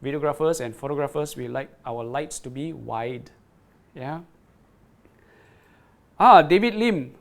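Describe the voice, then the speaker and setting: A man's voice: medium pitch, expressive, a little slow, vocal fry, long pauses. A man presenting a product on a livestream, demonstrating it and reading viewer comments, often repeating words and checking in with "right?".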